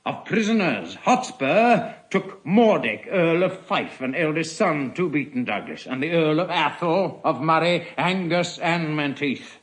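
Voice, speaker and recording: An actor playing a weary, grave king in classical Shakespearean audio drama, declaiming in a measured, solemn way. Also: on the high side, lively intonation. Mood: stirred, proud. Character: weary, careworn English king, grave and regal.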